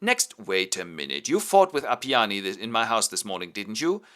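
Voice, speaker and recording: A man voicing a fierce middle-aged mother who yells shrill accusations. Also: sing-song, fairly quick. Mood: accusing.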